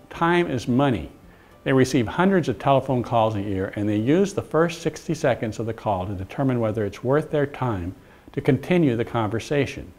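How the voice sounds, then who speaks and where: A man's voice: mid-range voice, darkish tone. A man delivering scripted instructional narration in a businesslike, direct way, emphasising his advice as a presenter. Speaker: presenter, commercial mortgage broker giving tips.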